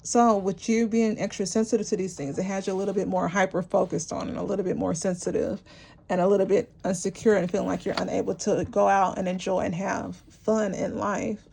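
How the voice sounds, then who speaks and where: A woman giving a rambling, encouraging tarot reading to her viewers, her voice worn and going hoarse, with many fillers and restarts. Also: medium pitch, little pitch movement, fairly quick, dark tone, slight background noise. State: losing her voice, clearing her throat. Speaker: tarot reader. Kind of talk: intuitive tarot reading to camera.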